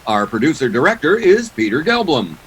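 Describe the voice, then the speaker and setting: A man's voice: medium pitch, sing-song, brisk, a little hiss or hum, natural voice. A man acting a polished 1940s radio announcer, delivering sponsor copy and sign-offs with showy salesmanship. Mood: formal sign-off.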